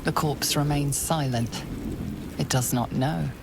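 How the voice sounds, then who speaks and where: A woman playing the grave, ominous storyteller of a dark fantasy game, delivering scripted narration in a measured way. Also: low-pitched, steady tone, gravelly, heavy background noise, heavily acted. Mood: ominous, detached.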